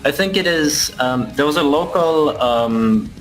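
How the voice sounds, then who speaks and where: A man's voice: a little high, expressive, measured pace, slight background noise, poor audio. A man, answering interview questions thoughtfully and with hesitation. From Finland. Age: 20s. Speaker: guitarist in a rock band.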